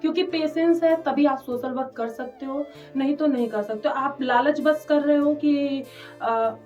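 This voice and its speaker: Casting: woman, high-pitched, chatty interviewee, slightly off-mic and muffled. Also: a little slow, a little mellow. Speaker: interview guest, social worker. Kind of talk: conversational interview answers. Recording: dull, distant mic with background music.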